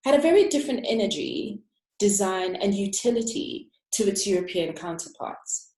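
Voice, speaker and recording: A woman, an interior architect, giving a prepared, reflective talk and slowing down to stress key words. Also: medium pitch, a little mellow, reverberant, poor audio. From Africa.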